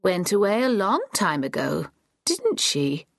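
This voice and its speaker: A woman voicing a confused elderly woman in her eighties who dotes on dogs, speaking slowly with high, questioning tones. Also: very animated, gravelly, breathy edge, no room sound, studio quality, heavily acted.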